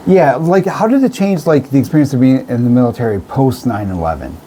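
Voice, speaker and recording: Male radio host, animated intonation, mellow tone, casual interview chat, exclamatory, faint noise behind. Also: medium pitch, fairly quick. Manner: gushing, exclamatory.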